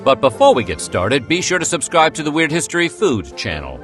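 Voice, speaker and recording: A male narrator reads a playful script over clear background music. His pitch swings in a lively way, and he drops in wry, joking asides. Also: brisk. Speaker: narrator of a food history video. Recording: music bed behind the voice.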